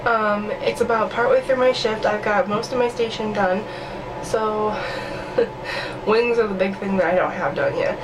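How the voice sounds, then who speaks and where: A woman's voice: medium pitch, unhurried, background noise. A woman chatting casually to camera in her daily vlog, sick with a stuffy nose and her voice coming and going, self-mocking and laughing often. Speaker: vlogger. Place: at home indoors.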